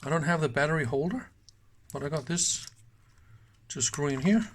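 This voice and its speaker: A man's voice: fairly high pitch, expressive, normal speed. A man giving casual hands-on commentary at an indoor workbench, thinking aloud informally with small exclamations. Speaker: host of electronics teardown videos.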